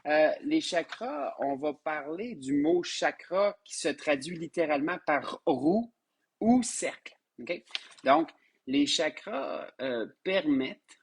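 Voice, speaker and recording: A man with a Québécois French accent teaches a spiritual class live, his voice hoarse and husky from a sinus infection. His voice sits a little high for a man. He stumbles over his words, repeats himself and speaks to his listeners tenderly as his loves. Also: animated, takes it slowly. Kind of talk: live-streamed spiritual lesson.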